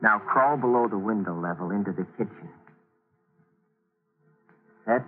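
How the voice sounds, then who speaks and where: An actor playing a cold, commanding criminal schemer in an old radio drama, speaking in terse orders on a tinny recording. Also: male voice, on the low side, a little slow, slight background noise, close to an everyday voice. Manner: terse, giving clipped orders. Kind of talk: 1940s radio drama acting.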